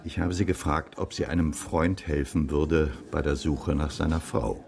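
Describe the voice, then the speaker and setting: An actor playing a dry, composed male investigator in a radio crime drama, with a deliberate, understated delivery. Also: extremely low pitch, fairly even intonation, gravelly, crowd noise. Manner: deliberate, understated, slightly old-fashioned wording. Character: dry, composed widowed male investigator reporting his findings.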